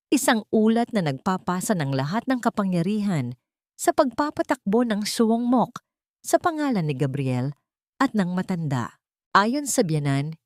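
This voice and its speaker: A woman storyteller with a slightly low voice narrates a scripted drama. Her pitch moves in wide, animated swings as she reads. The recording is dry and close, without room sound. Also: dry recording.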